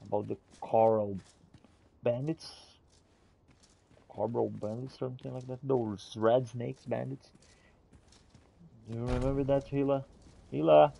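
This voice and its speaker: A man giving casual in-character game commentary and reading notes aloud, halting and muttering as he goes. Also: medium pitch, steady tone, gravelly, mellow. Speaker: gamer roleplaying and narrating a playthrough.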